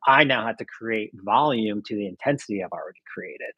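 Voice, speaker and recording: A fairly low-voiced man explains training in a loose, conversational way, checking in with "right?" as he goes. His voice is a bit husky, recorded dry and close with no room sound. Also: a little fast, dry recording, highs cut off. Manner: casual, explains with many "right?" tags. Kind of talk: casual coaching chat.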